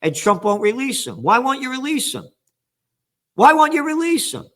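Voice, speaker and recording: An older man hosting a news commentary, reading headlines and ranting with angry, sarcastic, profane remarks that swing from low mutters to shouts. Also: wildly swooping pitch, moderate pace. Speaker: trends commentator and host.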